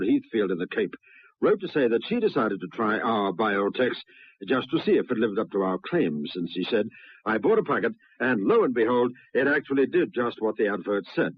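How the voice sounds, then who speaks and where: Husky man reading a vintage radio commercial. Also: medium pitch. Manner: formal, persuasive, addressing housewives. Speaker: radio advertising presenter. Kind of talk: 1960s radio commercial read. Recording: dull, dry 1960s radio recording.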